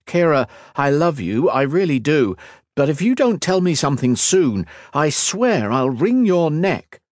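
A man's voice: fairly high pitch, big pitch swings, slow pace, slightly rough, dry recording, studio quality, voice pushed up, full character voice. A man voicing a headstrong, impatient young woman in a fantasy audiobook, blunt and demanding. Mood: exasperated.

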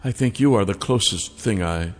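A man's voice: fairly low pitch, in a large hall, faint music behind, natural voice. A male narrator voicing an ancient female dragon in the form of a woman, coaxing and silky. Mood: seductive. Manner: silky, coaxing, slow and drawn out. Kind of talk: audiobook character voice.

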